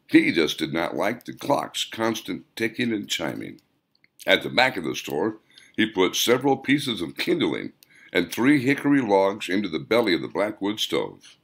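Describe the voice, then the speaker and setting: A man reading scripted audiobook narration as the author, in measured, deliberate phrases. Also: sits low.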